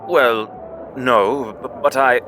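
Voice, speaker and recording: An actor playing an insecure, bashful blind prince of the gods in an audio drama, stammering and hesitant. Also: male voice, mid-range voice, fairly even intonation, extremely slow, noisy background. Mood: flustered.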